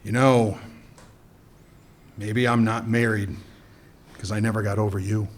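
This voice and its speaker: A man's voice: fairly low pitch, steady tone, a little slow. A man voicing a cynical, world-weary male weapons smuggler in a radio play, with a dry, flirtatious way of talking. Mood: wry.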